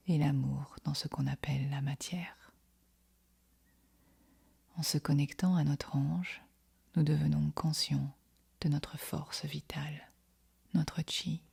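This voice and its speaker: A woman leads a guided meditation in a very breathy, husky near-whisper. Her voice is low and stays on an even, gentle line. She gives each instruction softly, then leaves room before the next. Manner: soft, soothing, unhurried instruction with long pauses. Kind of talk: guided yoga nidra meditation.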